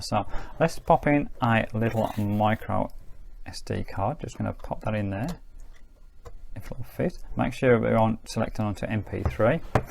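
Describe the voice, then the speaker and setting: A man's voice: fairly low pitch, creaky, a little mellow, a little hiss or hum. A man giving a casual hands-on gadget review in a chatty, unscripted, explanatory way. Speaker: product reviewer.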